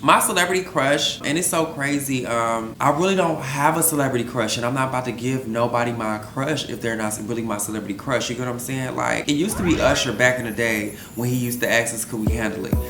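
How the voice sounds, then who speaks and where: A young man talks straight to camera in a candid, slangy, animated way, running through viewer questions at home. His voice sits at an ordinary pitch for a man. The room around him rings with echo, and a faint hum sits underneath. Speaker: YouTuber answering viewer questions. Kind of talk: casual vlog Q&A.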